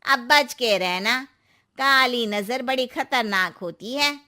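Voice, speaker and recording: A woman voicing a superstitious older neighbourhood aunty in a cartoon, giving slow, emphatic warnings. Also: on the high side, pushed and bright. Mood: ominous warning.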